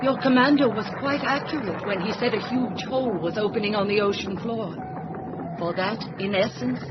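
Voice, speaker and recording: An actor playing a calm, superior alien visitor who speaks in a measured, formal, lecturing manner in a radio drama. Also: lightly acted. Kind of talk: old-time radio drama acting. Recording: muffled radio recording with loud engine rumble.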